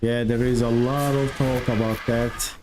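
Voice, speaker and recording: A man from Turkey with a thick Turkish accent, chatting with viewers during a live game stream in halting non-native English. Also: mid-range voice, flat delivery, noisy background. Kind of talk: live stream chat while playing.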